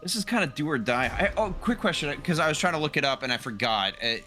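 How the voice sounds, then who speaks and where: A man's voice: on the high side, animated, fast, pushed and bright, soft background music. A man chatting casually as a player in a tabletop roleplaying game, thinking his moves through aloud.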